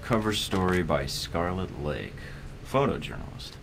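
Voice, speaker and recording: An actor playing a smooth, wisecracking undercover secret agent in a video game cutscene, with wry, teasing, understated delivery. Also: male voice, low-pitched, sing-song, unhurried, noisy background, exaggerated voice. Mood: wry, flirty. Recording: music behind the voice.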